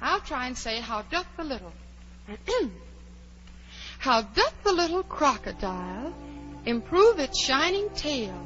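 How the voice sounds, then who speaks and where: A woman's voice: high voice, big pitch swings, slow, pauses often, a bit bright, faint music behind, full character voice. A woman playing a polite, dreamy, easily flustered young girl who talks earnestly and theatrically to herself in a 1940s radio drama. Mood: fretful, puzzled, then reciting. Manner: earnest, theatrical self-talk. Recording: dull, noisy 1940s radio recording.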